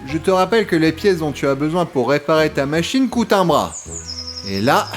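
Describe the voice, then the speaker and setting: A man's voice: fairly high pitch, sing-song, a little fast, soft background music. A man playing a showy, cajoling startup enthusiast in an audio comedy drama. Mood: persuasive. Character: breezy, boastful male startup enthusiast coaxing a friend.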